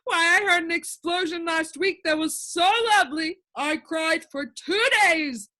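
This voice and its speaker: A woman voicing a loud, weepy and gleeful shapeless male noise creature in a storybook, with bellowed, drawn-out exclamations. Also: very high-pitched, pitched above the performer's own voice, full character voice. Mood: excited, joyful.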